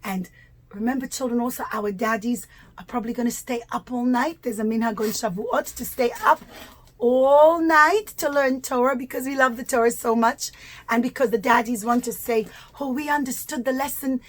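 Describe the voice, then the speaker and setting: High-voiced woman teaching young children. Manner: simple, expressive, emphatic teaching with drawn-out key words. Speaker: children's storyteller and religious educator. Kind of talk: storytelling lesson for young children.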